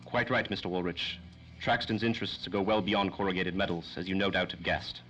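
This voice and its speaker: A man acts a self-important doctor of science from a 1930s radio serial. His low voice has a sharp, forceful edge, and he rattles off formal, pedantic phrases quickly. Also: steady tone, dull audio. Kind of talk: 1930s old-time radio drama acting.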